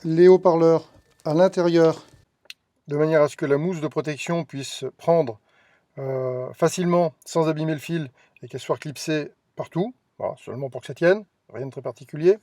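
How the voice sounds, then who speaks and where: A man's voice: a little high, moderate pace, warm tone. A man giving an informal hands-on tutorial, explaining each step conversationally and thinking aloud as he handles the gear. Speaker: tutorial host, tree-work professional.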